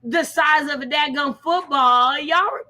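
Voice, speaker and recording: A woman with an American accent and a high, bright voice chats casually on a book livestream. She tells things dramatically, with slangy, animated phrasing. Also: a little slow. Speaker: co-host of a book discussion livestream. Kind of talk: casual chat.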